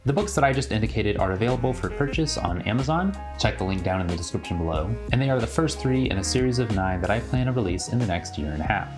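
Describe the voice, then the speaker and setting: A man's voice: lowish voice, animated, fast talker, hardly any pauses, music in the background. A young American man talking to camera in a personal vlog, with a wry, self-deprecating way of speaking. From western United States (California and Oregon). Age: young adult. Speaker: YouTuber and author.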